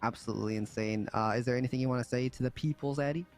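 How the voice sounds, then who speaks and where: A man co-casts an online gaming tournament in a casual, appreciative style. His voice is of medium pitch, and he tends to repeat himself. Faint music sits behind the commentary. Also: rapid-fire. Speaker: esports commentator. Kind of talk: casual live game commentary and sign-off.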